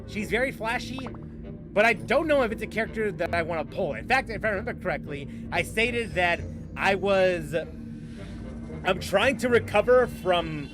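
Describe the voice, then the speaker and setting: Rambling, lively-voiced streamer guy. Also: high voice. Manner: rambling, restarts, chuckles at chat. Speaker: game streamer. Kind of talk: casual live stream chat. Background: game music.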